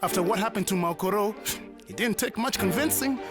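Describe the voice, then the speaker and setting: An actress playing an excitable, eager young collective member in an animated motion comic. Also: female voice, medium pitch, a little fast, close to an everyday voice. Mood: elated. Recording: music behind the voice.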